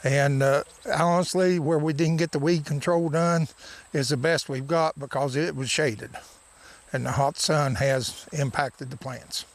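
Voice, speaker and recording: A man's voice: fairly high pitch, fairly even intonation, unhurried. A man who farms, giving unscripted answers in a news interview. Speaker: farmer.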